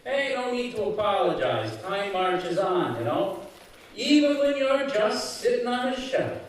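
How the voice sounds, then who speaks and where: A man's voice: high-pitched, big pitch swings, measured pace, slight background noise. A man playing the talking skull of a washed-up old sitcom actor in a live radio comedy, wry and full of showbiz patter. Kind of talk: old-time radio comedy acting before a live audience. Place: community center stage, live performance. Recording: very echoey hall, far from mic.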